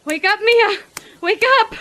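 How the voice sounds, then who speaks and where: A woman playing an anguished, sharp-tongued scheming wife in a horror audio drama, with a dramatic, pointed delivery. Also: a little high, lively intonation, unhurried, noisy background. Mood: dazed, frantic.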